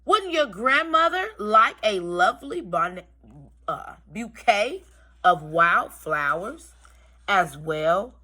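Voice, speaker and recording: A woman's voice: medium pitch, big pitch swings, slow pace, pushed and bright, exaggerated voice. A woman voicing a sly, greedy male wolf with mock-polite manners in a storybook read aloud. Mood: coaxing. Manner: smooth-talking, mock-polite.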